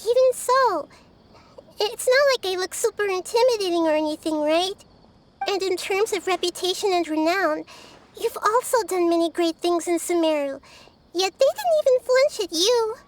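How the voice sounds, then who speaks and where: A woman voicing a wise, curious child-sized goddess in a video game, speaking in a soft girlish voice with a thoughtful, articulate manner. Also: very high-pitched, animated, normal speed. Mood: puzzled.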